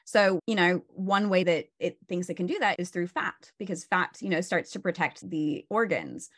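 A woman's voice: lowish voice, lively intonation, moderate pace. A woman burnout coach, a guest on a video call, explaining stress in a casual, instructive way with many "you know"s and "right?"s.

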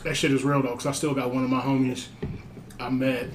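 A man in his thirties guesting on a podcast, telling stories in a relaxed, slangy way. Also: mid-range voice, a little fast, a little hiss or hum, rough recording. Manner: easygoing, slangy storyteller. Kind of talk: casual podcast chat.

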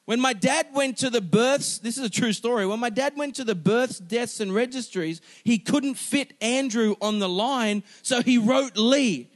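High-voiced animated male preacher. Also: normal speed, hardly any pauses. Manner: animated, emphatic, joking with the congregation. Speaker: pastor. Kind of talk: sermon.

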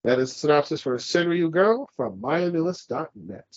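A man's voice: a little high, animated, normal speed, breathy edge, no echo, lo-fi sound. A man from California co-hosting a podcast, congested, rambling and swearing casually as he chats. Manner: profane, rambling, laughs often. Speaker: podcast co-host. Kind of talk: casual chat.

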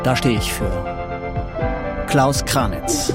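Slow, slick self-promoting therapist. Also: male voice, mid-range voice, wildly swooping pitch. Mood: confident. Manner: slick, punchy slogans. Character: self-assured, salesy male couples therapist advertising himself. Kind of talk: advertising pitch in an audio drama. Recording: loud music behind the voice.

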